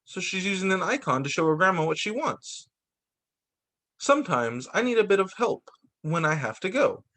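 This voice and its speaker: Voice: medium pitch, sing-song, moderate pace, fairly bright tone. A teacher reading a picture book aloud to children, expressively acting out the characters' lines. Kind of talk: animated children's story reading. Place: remote video story time for distance learning.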